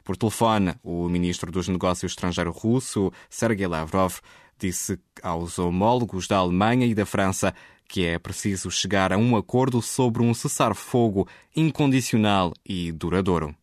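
Man, radio news bulletin, very low voice, dry close sound. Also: dry recording. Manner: steady, measured delivery. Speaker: newsreader. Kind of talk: scripted radio news reading.

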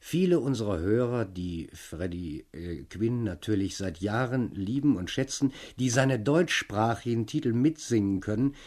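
A man's voice: fairly low pitch, expressive, normal speed, hardly any pauses, darkish tone. A man interviewing a guest on the radio, posing articulate questions in full sentences.

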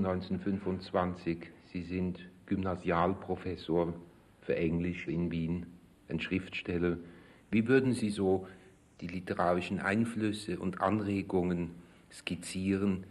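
A man interviewing a guest with prepared questions in formal, measured phrasing. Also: sits low, a little mellow.

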